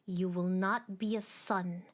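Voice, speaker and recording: A woman voicing the Star Forger, a stern cosmic maker who speaks in a measured, cold, unhurried way. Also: fairly low pitch, steady tone. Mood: warning.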